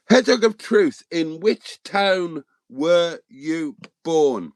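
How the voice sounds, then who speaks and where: A man's voice: a little high, no echo. A middle-aged man from Wales whose first language is Welsh, chatting loosely on a livestream as host, with many ums and restarts. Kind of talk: casual livestream chat answering viewers.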